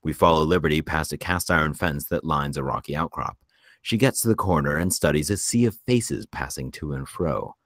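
Very deep-voiced male script narrator. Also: a little fast, fairly bright tone, dry recording, clean studio sound. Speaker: narrator reading stage directions. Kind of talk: scripted narration for a table read.

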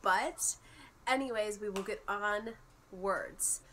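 A woman's voice: mid-range voice, slow pace, a bit bright, a little hiss or hum, rough recording. Congested young woman vlogging. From United States. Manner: chatty, explanatory, friendly. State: congested from a cold. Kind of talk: casual vlog. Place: bedroom at home.

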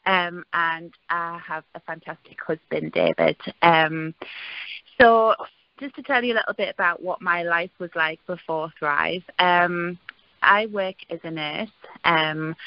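A woman's voice: fairly low pitch, normal speed, no echo. A middle-aged woman from northeast England with a Geordie accent, telling her story on a call in a chatty way with many fillers. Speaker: caller giving a testimonial. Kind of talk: casual phone testimony. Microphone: conference call line. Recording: dull lo-fi call audio.

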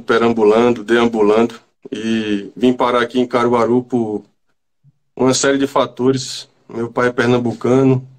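A man from Bahia, a writer guest, speaking haltingly over a video call while recovering from a cold. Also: medium pitch. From Bahia, Brazil. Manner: halting, repeats words while searching. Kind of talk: casual video-call interview. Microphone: video call from home.

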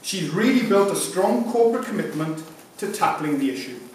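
A man's voice: high voice, expressive, moderate pace. A man in his thirties reading a formal, scripted award presentation speech as a sponsor. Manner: formal, reading from prepared notes. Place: conference room, indoors. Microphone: lectern mic, picked up by distant camera. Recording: echoey room from far off, hissy background noise.

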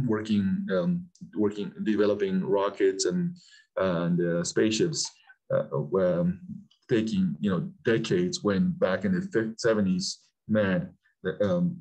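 Man in his 40s, from Peru, lowish voice, halting with lots of ums, video call interview guest. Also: poor audio. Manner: halting, many fillers and restarts, animated bursts. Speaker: aerospace company CEO, guest. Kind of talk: casual interview talk.

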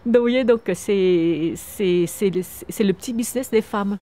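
A woman's voice: mid-range voice, unhurried, mellow. A woman in her fifties from Vietnam, answering interview questions outdoors in an earnest way, often restarting and repeating words. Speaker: founder of a microcredit charity. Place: outdoors on a wooden terrace among trees.

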